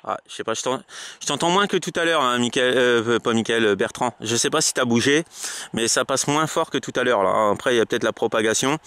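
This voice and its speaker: A man chatting casually as a radio operator relaying messages, halting and repeating himself as he goes. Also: mid-range voice, bright, forceful tone, a little hiss or hum. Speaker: amateur radio operator relaying between contacts. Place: outdoors.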